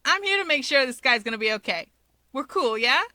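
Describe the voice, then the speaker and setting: Woman playing a high-pitched, cheeky younger brother. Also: animated, fairly quick, sharp tone, dry recording, clean studio sound, heavily acted. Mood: cheerful. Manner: bouncy, cheeky, pleading. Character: grinning, easygoing, mischievous younger brother, male.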